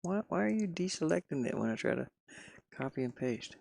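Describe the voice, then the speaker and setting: A man's voice: a little high, big pitch swings, brisk, gravelly, a little mellow, no room sound. A man talking himself through his work in a casual think-aloud commentary, muttering playfully. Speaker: game developer streaming his pixel art work.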